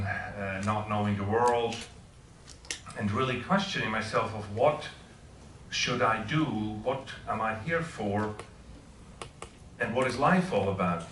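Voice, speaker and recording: Bright-voiced Swiss professor in an echoey hall. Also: male voice, lowish voice, distant mic, a little hiss or hum. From Switzerland. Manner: informal, asks the audience questions. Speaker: guest speaker. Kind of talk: public talk with audience questions. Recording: echoey room, voice heard from afar.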